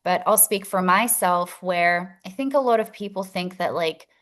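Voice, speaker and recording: Woman chatting warmly. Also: on the low side, moderate pace. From Italy. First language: Italian. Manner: open, chatty, laughs often. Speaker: featured guest educator. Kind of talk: casual chat on a video call.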